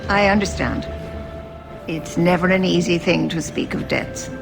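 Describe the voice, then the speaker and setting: A woman playing a grave, wise older elf leader in a fantasy video game, speaking in a measured, formal way. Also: on the low side, vocal fry, over music. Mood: solemn, gracious. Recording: loud background noise from game playback.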